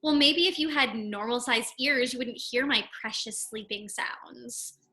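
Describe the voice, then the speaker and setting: A woman's voice: a little high, measured pace, bright, forceful tone. A woman playing a dramatic, lovesick, sassy girlfriend in a script read-through.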